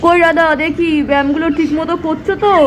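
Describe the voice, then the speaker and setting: A woman's voice: extremely high pitch, fairly even intonation, fast, a little hiss or hum. A woman voicing a bossy, chatty village farmer husband in a children's doll cartoon. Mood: chatty, contented. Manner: instructing, chatty, repetitive urging. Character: village farmer husband, bossy, fitness-proud, fond of exercise. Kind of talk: acted children's doll-play cartoon dialogue.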